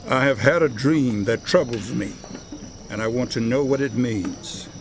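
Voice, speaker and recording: An actor playing a troubled, imperious king of Babylon, declaiming forcefully in a dramatized audio Bible. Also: mid-range voice, a little slow, noisy background, lightly acted. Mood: troubled, commanding.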